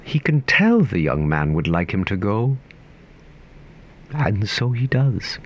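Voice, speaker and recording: A man narrating a scripted story in a theatrical, wry, literary manner with deliberate phrasing. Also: fairly low pitch, very animated, highs cut off.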